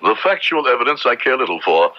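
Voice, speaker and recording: A man's voice: mid-range voice, big pitch swings, a little fast, natural voice. A man playing a stern, righteous Puritan minister in old radio drama style, pompous and sermonizing in formal archaic speech. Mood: zealous. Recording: muffled, noisy old radio drama recording.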